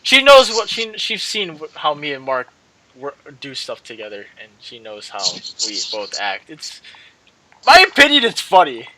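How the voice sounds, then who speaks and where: A young man with a bright, forward voice on the higher side, hosting a casual gaming video. He rambles and restarts his thoughts, trailing off as he goes. There is a faint noise behind him. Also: huge pitch swings, normal speed, low-quality recording. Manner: hesitant, rambling, repeats and trails off. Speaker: gaming channel host.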